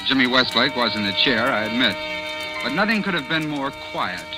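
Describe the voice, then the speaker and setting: Casting: man to play earnest young groom, protesting with very mobile pitch, 1940s radio drama with music behind. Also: very animated, a little fast, lightly acted. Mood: insistent, rueful. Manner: protesting, pleading, defensive. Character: earnest, harried young bridegroom telling his story.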